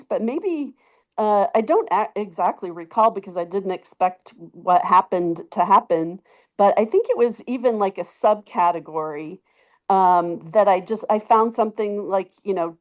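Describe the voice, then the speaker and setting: A woman of average pitch speaks as a participant sharing a testimonial. She tells it like a casual story, in conversational phrases with asides and emphatic repeats. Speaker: webinar participant giving a testimonial.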